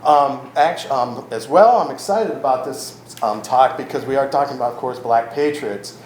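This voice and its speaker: A man speaks loudly to a gathered audience without a microphone, pausing often with ums and correcting himself. His voice sits at an ordinary pitch and echoes around the room. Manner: loud voice, frequent ums and self-corrections. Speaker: county historic records manager giving a talk. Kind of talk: informal public talk. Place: event room in a historic house. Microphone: no microphone, room recording. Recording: roomy echo with faint ambient noise.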